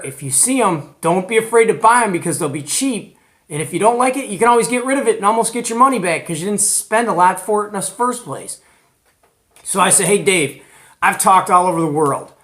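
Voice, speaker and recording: An American man hosting a hobby video in a casual, animated, colloquial way, retelling conversations as he goes. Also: high-pitched. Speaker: host of a CB radio show. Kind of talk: casual hobby vlog presenting.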